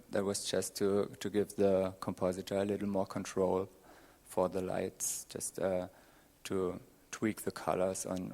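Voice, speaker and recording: Low-voiced, gravelly young man presenting. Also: darkish tone. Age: young adult. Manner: hesitant, halting delivery. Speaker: student presenter. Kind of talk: conference presentation. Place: dim conference auditorium with audience, on a panel.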